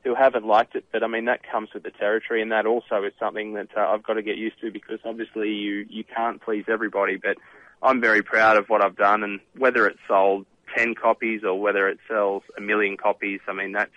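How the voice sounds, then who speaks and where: Australian man, 30s, phone-in guest, low and fairly flat, halting with fillers, bone-dry tinny line. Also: no room sound. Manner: halting, many uh fillers and restarts. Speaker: professional golfer and author, guest. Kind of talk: radio interview answers.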